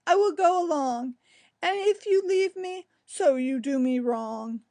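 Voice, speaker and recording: A woman playing a concerned, gentle young male cousin in a Shakespearean drama, with an earnest, deliberate delivery. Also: high voice, expressive. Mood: earnest, pressing.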